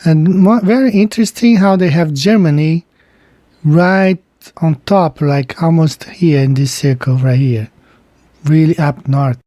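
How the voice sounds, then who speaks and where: Slow-talking man with mellow voice. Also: on the high side, no room sound, studio quality. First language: Portuguese. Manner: slow, meandering, pointing things out on screen. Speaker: channel host. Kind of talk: casual screen-share explainer.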